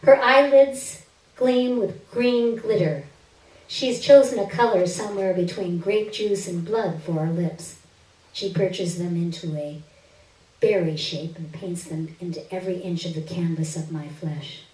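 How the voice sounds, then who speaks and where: A woman giving an expressive, deliberate reading of first-person literary fiction on stage, with drawn-out phrases. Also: lowish voice. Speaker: reader of a short story on stage. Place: indoor stage, live reading. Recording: roomy stage recording, distant microphone.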